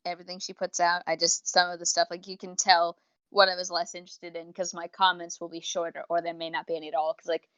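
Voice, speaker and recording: A woman with a somewhat low voice speaks as a podcast co-host. She gives a casual, chatty review in long, wordy explanations. The recording is a little dull and lo-fi. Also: flat delivery, fast, fairly bright tone. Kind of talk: casual unscripted review chat. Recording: slightly dull, lo-fi microphone sound.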